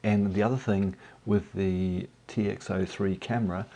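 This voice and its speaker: Croaky man with a cold, low and gravelly. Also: moderate pace. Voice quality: gravelly, husky. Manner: relaxed, hesitant, many ums and uhs. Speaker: drone hobbyist vlogger. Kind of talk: casual voice-over narration.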